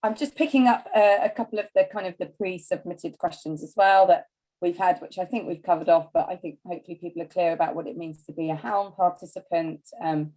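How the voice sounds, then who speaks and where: Woman moderating an online q&a, lowish soft dark voice, chatty and polite. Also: a little fast, low-quality recording. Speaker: host chairing the call. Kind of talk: informal moderating of an online information call. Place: online call.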